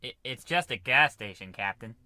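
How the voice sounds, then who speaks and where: An actor playing a put-upon, fussy science officer who defers and protests, in a scripted radio comedy. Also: male voice, medium pitch, lively intonation, moderate pace, bright, forceful tone, no room sound. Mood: fed up. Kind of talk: scripted old-time radio comedy acting.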